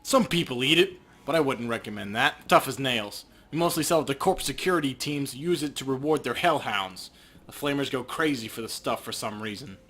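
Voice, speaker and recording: A man's voice: medium pitch, brisk, a bit bright, husky. Guy doing a hostile meat stand seller, snappy and dismissive, video game npc. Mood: grudging. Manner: curt, irritated, dismissive. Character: surly, hostile younger male meat-stand merchant.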